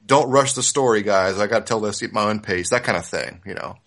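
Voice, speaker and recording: A man's voice: on the low side, brisk, fairly bright tone. A man co-hosting a podcast, talking casually and analytically with emphasis as he discusses a series. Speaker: podcast co-host.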